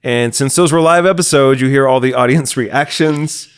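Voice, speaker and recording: Podcast host: American male, medium-pitched, casual and conversational in delivery. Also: moderate pace, smooth. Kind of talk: casual chatty podcast introduction.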